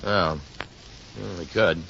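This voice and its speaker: An actor playing a breezy, easygoing suitor in a 1950s American radio drama. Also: male voice, low-pitched, fairly even intonation, slow pace, vocal fry, pushed and bright, heavily acted. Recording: dull vintage vinyl radio recording, hissy.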